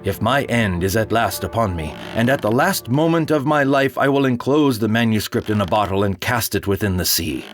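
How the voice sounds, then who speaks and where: A man gives a measured, solemn first-person reading of a period sea tale in a one-man audio drama. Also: lowish voice. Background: music.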